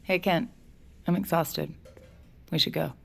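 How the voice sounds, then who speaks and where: A woman's voice: deep voice, fairly even intonation, measured pace, gravelly, breathy edge, exaggerated voice. An actress playing a tired, sardonic bartender who dryly narrates her own story in an audio drama. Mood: tired, uneasy. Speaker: narrator and lead character. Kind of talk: audio fiction drama with first-person narration.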